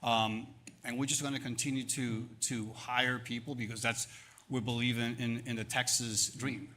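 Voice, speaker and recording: An upbeat man in his fifties with an Argentine Spanish accent speaks in a bright, forward voice at mid pitch. He answers panel questions on stage with promotional energy, stacking up numbers between fillers and quick restarts. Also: flat delivery. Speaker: banking executive. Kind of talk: live panel interview on stage. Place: event stage.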